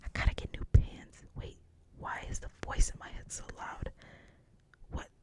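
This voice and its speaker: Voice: normal speed, natural voice. A whispering reader voicing an awkward, anxious teenage boy's inner thoughts. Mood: flustered. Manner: whispered, flustered inner monologue. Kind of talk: whispered screenplay reading.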